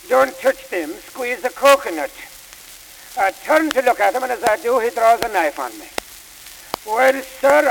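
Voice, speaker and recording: A man's voice: very high voice, vocal fry, a bit bright, full character voice. An actor playing a drunk, blustery Irish man pleading his case in court, in a sly, self-pitying way, in an old vaudeville comedy sketch. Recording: hissy, echoey old record.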